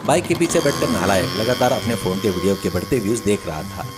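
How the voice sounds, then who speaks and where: A man's voice: on the low side, sing-song, fast talker, very noisy. A man narrating a scripted children's story as the storyteller.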